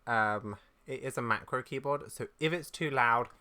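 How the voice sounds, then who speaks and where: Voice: mid-range voice, normal speed, sharp tone. A gaming video creator of unclear sex chats casually and apologetically through a playthrough, in an informal way. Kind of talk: casual game playthrough commentary with read-aloud dialogue. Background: game music, keyboard clicks.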